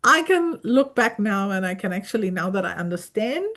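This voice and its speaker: A woman's voice: mid-range voice, very animated, moderate pace, fairly bright tone. A middle-aged woman from India, hosting a remote conversation in a reflective, chatty way with many fillers and asides. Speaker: podcast host. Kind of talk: conversational interview chat.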